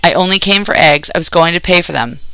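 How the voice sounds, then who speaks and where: A man's voice: on the high side, brisk, vocal fry, bright, forceful tone, smooth, no echo, poor audio. A man voicing a small, nervous runaway boy in a story, meek and hesitant. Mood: frightened. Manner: hesitant, meek, stammering when scared.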